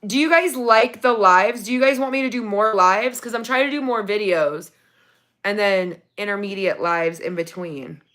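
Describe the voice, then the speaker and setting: A woman hosting a casual livestream from her phone, reading viewer comments, her voice going raspy from talking so long. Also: medium pitch, moderate pace. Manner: chatty, excited when thanking viewers for gifts. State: losing her voice, getting raspy. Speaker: livestream host.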